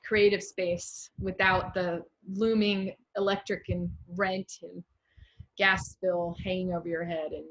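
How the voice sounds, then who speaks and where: A woman's voice: fairly low pitch, level tone, a little slow, a bit bright, rough recording. An American woman hosting a casual online interview over a video call, enthusiastic and encouraging with her guest. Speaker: interview host.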